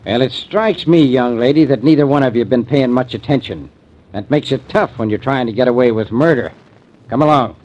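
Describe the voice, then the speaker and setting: Man voicing a suspicious small-town sheriff, blunt and bullying, middle-pitched, muffled old radio recording. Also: brisk, darkish tone, close to an everyday voice. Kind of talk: 1940s radio acting.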